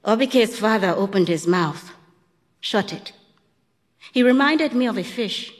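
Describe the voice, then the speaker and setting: A woman reading a first-person literary story aloud in a calm, measured way. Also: medium pitch. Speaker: audiobook narrator. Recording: long reverb on the voice.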